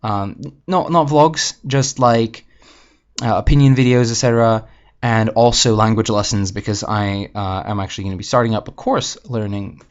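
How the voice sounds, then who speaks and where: A man's voice: on the low side, steady tone, moderate pace, lo-fi sound. A young man from Slovakia, casually ranting to viewers on his channel, swearing often and rambling candidly. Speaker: gaming channel host.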